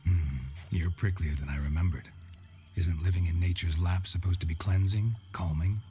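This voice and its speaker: An actor playing a gruff, world-weary monster hunter in a video game, terse and deadpan with a gravelly voice. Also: male voice, deep voice, normal speed, soft background music, close to an everyday voice. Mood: deadpan, probing. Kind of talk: video game drama acting.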